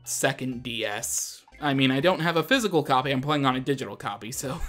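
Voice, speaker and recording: A man hosts a gaming video in a casual, chatty way. His voice sits at a middling pitch, with a self-deprecating streak to his commentary. Video game music plays behind him. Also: expressive, fairly quick, fairly bright tone. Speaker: gaming show host.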